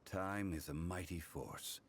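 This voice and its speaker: A man voicing an ancient, composed god in a video game, speaking in measured, formal, solemn phrases over music. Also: low-pitched, a little hiss or hum. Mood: reflective. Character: ancient, composed god living as a learned gentleman. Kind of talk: video game voice acting, scripted storytelling. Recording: music under the voice.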